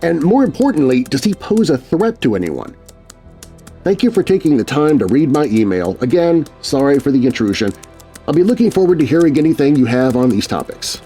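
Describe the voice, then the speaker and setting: A man reading a children's scary story aloud, voicing letters in a scripted, expressive narration. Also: a little high, fairly quick, slightly rough, faint music behind.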